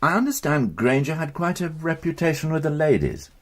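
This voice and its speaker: A man playing a shrewd, courteous police inspector with an English accent in a British radio crime drama, probing formally with deliberate hesitations. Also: a little high, sing-song.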